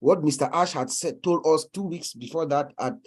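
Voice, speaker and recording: A man from Cameroon, speaking haltingly with many restarts as a guest in a webinar panel over a video call. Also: on the high side, a little mellow, husky.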